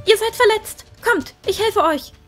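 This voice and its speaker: Woman playing an urgent, very high-voiced loyal helper. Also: unhurried, fairly bright tone, faint music behind. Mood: urgent, worried. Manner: urgent, concerned. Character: loyal, anxious female helper in battle. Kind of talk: fantasy audio drama acting.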